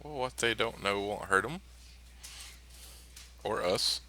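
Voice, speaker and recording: Male player, low but bright and tense voice, light hiss behind, easygoing. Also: takes it slowly. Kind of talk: casual tabletop game chat.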